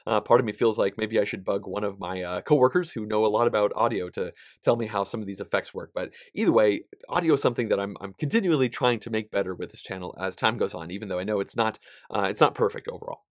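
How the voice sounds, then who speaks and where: A man's voice: lowish voice, fast talker, no echo, clean studio sound. Chatty man with a slightly nasal voice. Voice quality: somewhat nasal. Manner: chatty and animated, gesturing with both hands, frequent fillers. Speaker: board game vlogger. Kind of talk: casual vlog update. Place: small indoor studio, plain backdrop.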